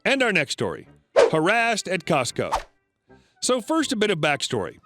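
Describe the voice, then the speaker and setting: High-voiced man reading stories aloud. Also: wildly swooping pitch, normal speed, loud music behind the voice. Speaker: narrator of reddit stories. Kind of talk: scripted narration.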